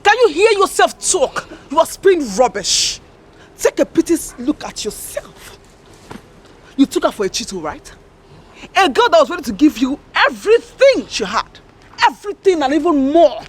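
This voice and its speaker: An actress playing a sharp-tongued female friend who scolds a man. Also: high-pitched, very animated, normal speed. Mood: scolding.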